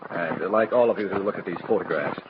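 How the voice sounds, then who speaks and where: An actor playing a brisk, authoritative American police captain, businesslike and commanding, in a 1940s radio crime drama. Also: male voice, sits low, natural voice. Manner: commanding, businesslike, courteous to witnesses. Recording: muffled, noisy old radio recording.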